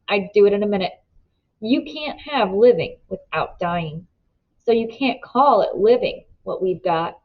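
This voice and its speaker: A woman's voice: a little high, lo-fi sound, lightly acted. A woman voicing an earnest, weary older rural father in a storybook, pleading in folksy country phrasing. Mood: calm, weary. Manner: folksy country grammar, urgent and philosophical.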